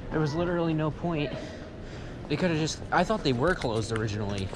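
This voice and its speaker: A man's voice: on the high side, lively intonation, a little fast. Young guy vlogging a dead store, kinda wistful, talks to the cam, lots of noisy hiss. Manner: wistful, chatty, talks to camera while walking. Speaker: retail vlogger filming. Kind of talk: casual walkthrough commentary. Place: large empty department store in a mall, indoors. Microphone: handheld action camera. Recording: noisy handheld camera audio.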